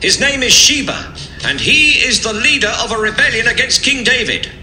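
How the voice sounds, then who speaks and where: Firm army commander in bible drama, very high pitched for a man, echoey, music behind. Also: sing-song, a little fast, pushed and bright, slight background noise, heavily acted. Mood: firm, reassuring. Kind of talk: dramatised audio Bible acting.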